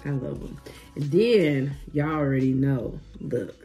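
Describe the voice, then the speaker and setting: A woman's voice: very deep voice, lively intonation, unhurried, faint music behind. A woman in her thirties chatting casually to her viewers from her living room, recovering from being sick, saying "y'all" a lot and gushing over gifts. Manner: chatty, says "y'all" often, warm exclamations over gifts. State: recovering from illness. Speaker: vlogger. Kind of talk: casual vlog chat. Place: living room at home, indoors.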